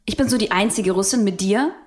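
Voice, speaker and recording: A young woman co-hosting a podcast in casual chat, relaxed and emphatic, often chiming in with agreement. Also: mid-range voice, a little fast. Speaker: podcast co-host.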